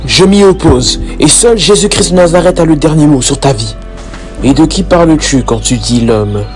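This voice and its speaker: A man's voice: a little high, normal speed, lightly acted. Man acting earnest devout father over music. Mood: firm, protective. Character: devout, protective, remorseful father of a grown daughter. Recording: background music under the voice.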